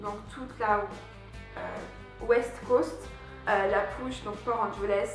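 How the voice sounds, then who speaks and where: A woman's voice: medium pitch, fairly bright tone. A young woman from France, chatting casually to her viewers about a year abroad, with lots of fillers and restarts. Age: young adult. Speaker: vlogger. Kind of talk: casual vlog recap. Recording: very echoey room with faint music.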